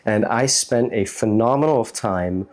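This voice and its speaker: An American man with a fairly low voice speaks as a guest in an interview. He explains things in a casual, conversational way, sprinkled with informal phrasing. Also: measured pace, a little mellow. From United States, New York City. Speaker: interview guest, startup chief executive.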